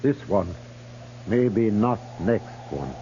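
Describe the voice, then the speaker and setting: Stern Arapaho chief, mellow, old radio. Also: male voice, lowish voice, expressive. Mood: grave, unyielding. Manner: terse broken English, stern and deliberate. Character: proud, wary Arapaho chief of a hungry band. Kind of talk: 1950s radio western acting. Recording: muffled, noisy 1950s radio recording.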